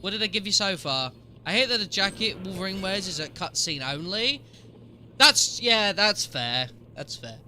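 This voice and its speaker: Man, game streamer, sniffly with a cold, very bright sharp tone, slightly high voice, chatty. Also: big pitch swings, moderate pace, a little hiss or hum. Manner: chatty, answers trivia aloud, jokes with chat. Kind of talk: casual live-stream commentary.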